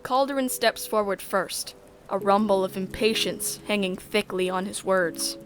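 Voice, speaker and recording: A woman narrating a sci-fi visual novel in the first person, measured and descriptive. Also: medium pitch, faint music behind.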